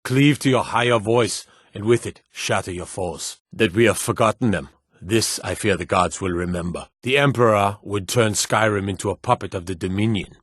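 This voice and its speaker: Stern fantasy war soldier in a game. Husky edge, dry close sound, formal commanding phrasing. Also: male voice, on the low side, moderate pace, no echo. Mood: solemn, rousing. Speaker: video game voice actor. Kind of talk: scripted video game voice acting.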